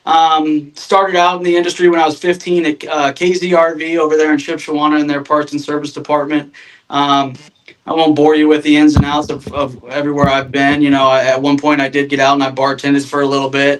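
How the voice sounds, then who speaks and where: A man's voice: a little high, level tone, brisk, low-quality recording. A man in his thirties from Northern Indiana, a podcast guest talking casually and self-deprecatingly, with many fillers and restarts. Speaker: guest, RV sales manager.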